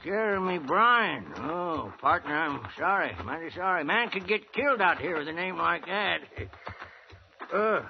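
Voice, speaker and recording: High, creaky old frontier prospector. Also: male voice, measured pace, heavily acted. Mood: folksy, easygoing. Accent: American. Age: older. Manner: folksy rustic drawl, excitable. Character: old frontier prospector, folksy, stubbornly hopeful. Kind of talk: 1950s radio western acting. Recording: dull, noisy old radio recording.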